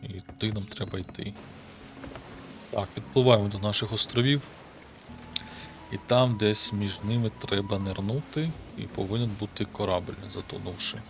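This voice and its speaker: A man's voice: fairly low pitch, steady tone, moderate pace, gravelly. A man with a Ukrainian accent giving casual gameplay commentary, offhand and muttering to himself, swearing casually. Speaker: gaming video host. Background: game music.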